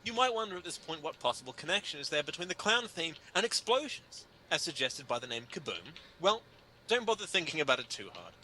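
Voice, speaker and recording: Animated, bright-voiced man, sardonic comic reader. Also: fairly high pitch, brisk, a little hiss or hum. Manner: mock-indignant, emphatic, sardonic delivery. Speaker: reader performing a humor article. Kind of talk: dramatic comedic reading of an article.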